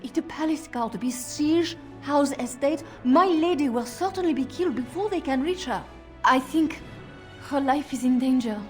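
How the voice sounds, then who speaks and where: An actress playing an anxious, loyal royal handmaiden with a careful, earnest manner. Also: female voice, high-pitched, lively intonation, normal speed, a little mellow, soft background music. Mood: worried.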